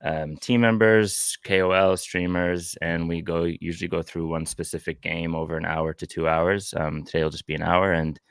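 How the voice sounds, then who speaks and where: Male host, low gravelly voice, casual joking chat. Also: moderate pace, few pauses, dry recording, clean studio sound. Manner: relaxed and joking, with fillers. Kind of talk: casual chat about a card game.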